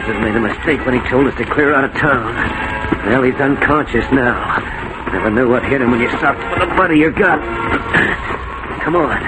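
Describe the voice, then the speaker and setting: An actor playing a nervous, ruthless small-time crook with rough, colloquial speech in a 1940s American radio drama. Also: male voice, medium pitch, normal speed, lightly acted, poor audio. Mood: grim, scheming. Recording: vintage 1940s radio recording, loud music.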